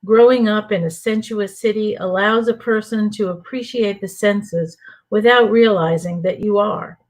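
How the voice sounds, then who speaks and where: An older woman from New Orleans reading aloud from her own book in a measured, deliberate way for an online reading. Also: mid-range voice, steady tone. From New Orleans, USA. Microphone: home computer mic for an online reading.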